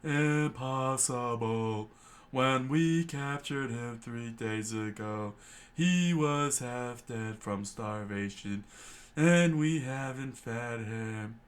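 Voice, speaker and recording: A man voicing a pompous, arrogant male navy lieutenant in a slow, haughty, indignant drawl while reading a comic aloud. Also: medium pitch, pitched above the performer's own voice. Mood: scoffing. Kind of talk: fan reading of a comic, doing voices.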